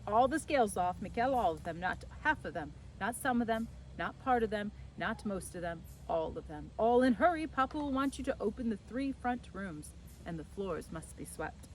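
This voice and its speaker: A woman voicing an excitable, fretful Italian mother in a storybook, exclaiming and repeating herself as she fusses. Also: medium pitch, moderate pace, few pauses, slight background noise, natural voice, poor audio. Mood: grudging, scolding. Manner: exclamatory, repetitive, fussing.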